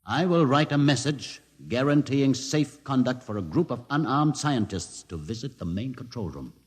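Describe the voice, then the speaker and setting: An actor playing a solemn mutant leader with a twisted leg, speaking gravely and deliberately in a 1950s radio drama. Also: male voice, medium pitch, expressive, natural voice. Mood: measured. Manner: slow, grave, dramatic storytelling. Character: aged mutant leader, lame, solemn and wise. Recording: old radio broadcast with long reverb.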